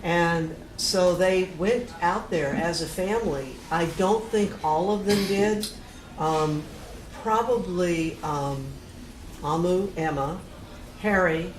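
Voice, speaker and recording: A mature woman with an American accent, explaining family history casually and in detail, pausing to recollect. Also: on the low side. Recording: echoey room with clear noise.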